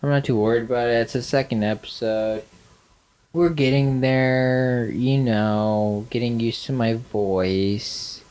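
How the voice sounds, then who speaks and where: A man's voice: medium pitch, fairly even intonation, a little slow, darkish tone, clean tone. A man with a nasal voice co-hosting a podcast, chatting casually with lots of fillers and dry sarcasm. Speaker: podcast co-host. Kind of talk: casual chat.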